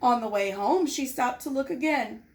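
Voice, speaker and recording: A woman reading a children's storybook aloud in an expressive, deliberate, dramatized way. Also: sits high. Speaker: storybook reader. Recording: noticeable background noise.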